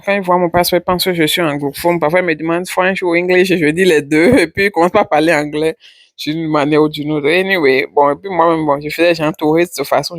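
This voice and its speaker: A woman's voice: low voice, no echo, studio quality. A woman vlogger chatting casually over her travel footage, rambling and repeating her words.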